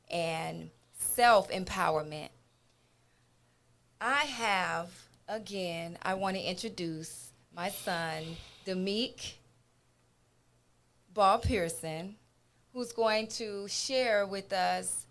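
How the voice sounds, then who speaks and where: Slow, deliberate woman hosting a talk show. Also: on the low side, fairly bright tone. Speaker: talk show host and wellness coach. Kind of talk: motivational radio show introduction.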